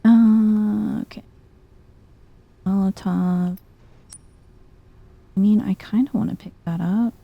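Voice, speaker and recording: A soft-spoken woman doing chill, playful ASMR game commentary, often close to a whisper. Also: medium pitch, fairly even intonation, slow pace, gravelly, pauses often, lo-fi sound. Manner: chill, playful muttering to herself. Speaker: gaming video host.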